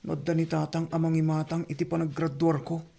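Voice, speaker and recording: An actor playing a dutiful young student and elder brother in a radio drama, earnest and subdued, with a creaky, mellow voice. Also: mid-range voice, flat delivery, a little fast. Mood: wistful, subdued. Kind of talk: radio drama acting in Ilocano.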